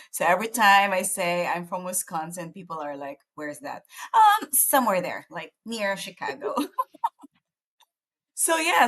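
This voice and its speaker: A woman in her late thirties from the Philippines, co-hosting a casual podcast chat in a lively, talkative way. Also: on the low side. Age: late 30s. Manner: lively, chatty, many fillers. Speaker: podcast co-host. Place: small town in the United States.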